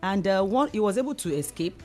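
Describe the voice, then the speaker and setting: A woman's voice: medium pitch, expressive, brisk, darkish tone, faint music behind. A woman with a Nigerian accent speaking as a discussion guest, explaining earnestly and repeating phrases for emphasis. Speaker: discussion guest, community advocate.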